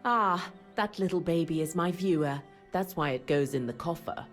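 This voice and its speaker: An actress playing a breezy, bossy female filmmaker in a video game, chatty and expressive, with condescending endearments. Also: lowish voice, moderate pace, faint music behind, lightly acted. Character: breezy, bossy, suspicious female filmmaker in 1930s mountain refuge.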